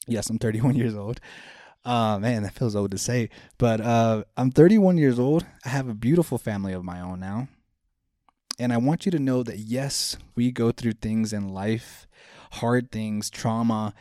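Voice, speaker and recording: Podcast host, male, thirties, warm mellow tone, dry close sound, sincere motivational delivery. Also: medium pitch, measured pace, dry recording. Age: 30s. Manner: earnest, repetitive, speaks straight to listeners. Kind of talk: motivational self-help talk.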